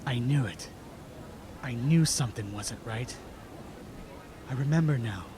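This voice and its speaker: A determined young swordsman hero played by a man in an audio drama, serious and intent. He speaks slowly at a medium pitch over clear background noise. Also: dark tone, lightly acted. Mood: intent realisation. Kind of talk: fan audio drama acting. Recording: clearly noisy amateur recording.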